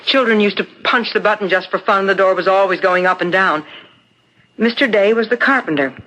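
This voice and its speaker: Woman playing curious investigator's helper, medium pitch, sharp tone, eager questions, noisy vintage radio recording. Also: a little fast, close to an everyday voice. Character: inquisitive, helpful woman assisting an investigator. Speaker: radio actor. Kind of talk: 1970s radio mystery acting.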